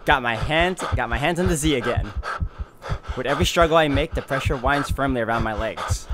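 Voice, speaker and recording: A man's voice: mid-range voice, fairly quick, noisy background. A man hosting a let's play, mixing gaming commentary with dramatic read-aloud of visual novel narration. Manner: reads narration dramatically, reacts openly. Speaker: let's play video host.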